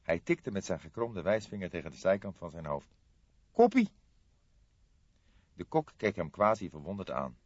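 A man's voice: low-pitched, fairly quick, breathy edge, no room sound. A man reading scripted crime fiction as an audiobook narrator, in a storytelling style. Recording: slightly dull, dry studio recording.

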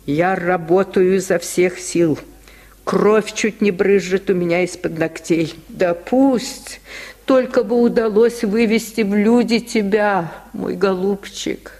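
Man voicing a weary, tender washerwoman, slow speech. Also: sits high, a little mellow, pitched above the performer's own voice. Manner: plaintive, affectionate, tired. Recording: 1950s radio recording, long reverb.

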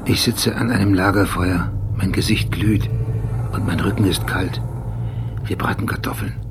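An actor playing an obsessive, brooding police detective who recounts memories precisely in short sentences, in a radio drama. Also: male voice, sits low, fairly even intonation, normal speed, noisy background. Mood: brooding, remembering. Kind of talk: scripted radio drama monologue.

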